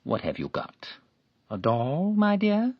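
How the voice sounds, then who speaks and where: A man's voice: on the high side, wildly swooping pitch, slow, dry recording, pitched above the performer's own voice, full character voice. A man playing a fawning, lecherous middle-aged official who turns harsh and scolding, read in a storybook. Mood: wheedling. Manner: wheedling, then harsh and scolding. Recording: dull lo-fi recording.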